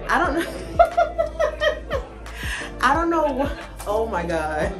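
Woman, 30s, chatty vlogger, phone held at arm's length, a little high-voiced, music clearly audible under her. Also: very animated, takes it slowly. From Atlanta, United States. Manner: chatty, bubbly, addresses viewers as y'all. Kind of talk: casual vlog chat to camera. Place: office turned party venue, indoors.

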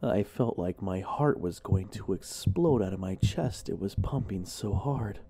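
A man narrating a horror story in the first person, in an informal, expressive, dramatic way. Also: deep voice, unhurried, slight background noise.

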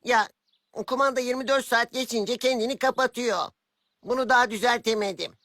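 A woman's voice: on the high side, fairly even intonation, a little fast, a bit bright, no room sound, clean studio sound, lightly acted. A woman voicing a worried gadget-maker in a children's cartoon.

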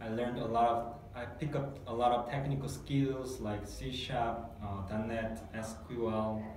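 A man's voice: mid-range voice, flat delivery, unhurried, a crowd in the background. A Korean man in his thirties, a guest in a testimonial interview, speaking English as a second language and hesitating often, with many fillers and restarts. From South Korea. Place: small dark meeting room, seated at a table. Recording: echoey room, mic far off, faint hiss.

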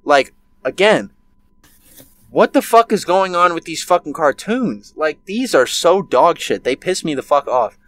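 Young man, 19, heated profane ranter, a bit high-pitched, webcam audio from a bedroom. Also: very animated, a little slow, fairly bright tone. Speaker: podcast co-host. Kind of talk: casual chat.

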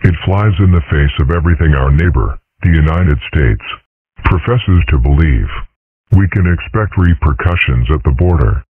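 Casting: man as eccentric cartoon dragon dad, formal and pompous, extremely deep husky voice, narrow phone-like audio. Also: expressive, normal speed, full character voice. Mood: solemn. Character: pompous, eccentric dragon father, self-styled head of state. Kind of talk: comic strip dub. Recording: muffled narrow radio-like sound.

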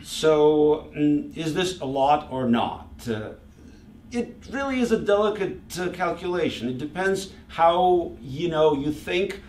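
A middle-aged man from Russia speaks as an author at a book reading, addressing a small audience informally with deliberate, halting phrasing and emphatic repetition. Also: on the high side, lively intonation, a little mellow. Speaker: author presenting his book. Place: small library meeting room, indoors. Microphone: distant camera microphone. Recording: roomy, distant microphone with background noise.

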